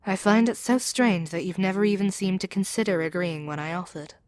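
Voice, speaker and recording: A woman's voice: mid-range voice, brisk, a little mellow, dry recording, lightly acted. A woman playing a proud, seductive demon queen in an ASMR audio roleplay, speaking in an imperious, persuasive, theatrical way. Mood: wistful, curious. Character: proud, seductive demon queen brainwashing her captive hero.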